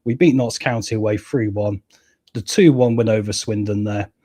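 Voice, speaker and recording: A man with a cold and a hoarse voice, chatting casually to viewers as he plays a football management game and reads out live chat. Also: lowish voice, fairly even intonation, normal speed, mellow, no echo, studio quality. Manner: chatty, keeps addressing viewers as guys and mate. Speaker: gaming channel host.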